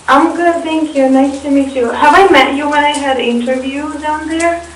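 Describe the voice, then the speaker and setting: Russian woman musician chatting in an interview, highish voice, roomy sound w faint hiss. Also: normal speed. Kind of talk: casual interview answers. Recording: echoey, distant, faint hiss.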